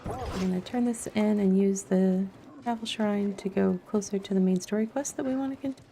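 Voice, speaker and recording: A woman's voice: mid-range voice, fairly quick, gravelly, noisy background. A woman streamer giving casual live gameplay commentary, chatty and thinking aloud as she goes. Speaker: game streamer.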